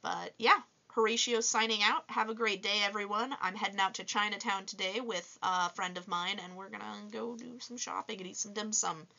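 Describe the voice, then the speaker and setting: A woman in her twenties with a low voice, chatting casually and upbeat in a vlog, with many fillers. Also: fairly even intonation, moderate pace, creaky, hardly any pauses, sharp tone, muffled recording. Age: 20s. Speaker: toy reviewer and vlogger. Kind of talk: casual vlog answering viewer questions. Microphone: voice-over with face unseen.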